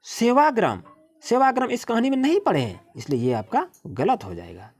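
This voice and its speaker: An Indian-accented woman teaching young children, explaining textbook exercises in an instructive way and reading out English slowly, word by word. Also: on the high side, sing-song, a little mellow, slightly rough. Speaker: primary school teacher.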